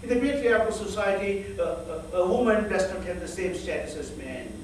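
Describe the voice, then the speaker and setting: Earnest male preacher, fairly high voice, measured rhetorical delivery, faint room hum behind. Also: lively intonation. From northern Sri Lanka. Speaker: speaker at a church fellowship. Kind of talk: sermon. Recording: echoey hall, voice from far off.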